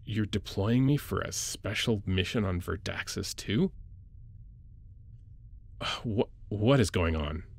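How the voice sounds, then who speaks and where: A man playing a caring, playfully gruff military medic lieutenant in an intimate audio roleplay, with an informal, hesitant, teasing delivery. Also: on the low side, expressive, slow, long pauses, heavily acted. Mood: confused, wary.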